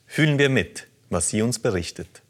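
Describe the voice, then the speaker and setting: A man presenting a religious program, reading listener letters aloud in a measured, earnest way. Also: medium pitch, lively intonation. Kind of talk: calm scripted reading of letters.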